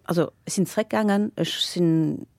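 A woman, a guest in a casual interview, telling stories with lots of fillers and lively laughter. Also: lowish voice, moderate pace, vocal fry, no room sound. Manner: animated, laughing while telling anecdotes, many fillers. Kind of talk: casual storytelling.

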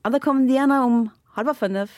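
A woman, a guest in a casual interview, telling stories with lots of fillers and lively laughter. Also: high voice, fast talker, dry recording, clean studio sound. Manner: animated, laughing while telling anecdotes, many fillers. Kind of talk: casual storytelling.

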